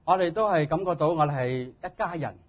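A man preaching as a guest in short phrases, speaking humbly and haltingly in his native tongue. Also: a little high, slightly rough. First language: Cantonese. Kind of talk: sermon delivered in short phrases for interpretation. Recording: dull, muffled lo-fi sound.

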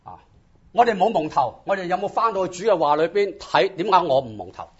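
Male preacher, higher-pitched and husky, exhorting in short bursts, muffled recording. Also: animated, fairly quick. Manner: exhorting, rhetorical questions. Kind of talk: sermon in short phrases with pauses for interpretation. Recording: dull, lo-fi audio.

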